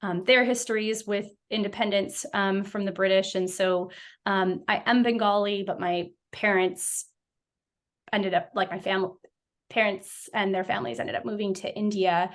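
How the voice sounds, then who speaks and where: A woman's voice: medium pitch, steady tone, normal speed. A young woman, an occupational therapy practitioner, talking as a guest in a casual interview, reflective and full of fillers and restarts. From United States.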